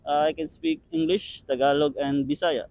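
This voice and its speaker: A man, giving hesitant, halting answers in a street interview. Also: on the high side, fairly even intonation, a little mellow, a little hiss or hum, rough recording. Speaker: seafarer interviewee.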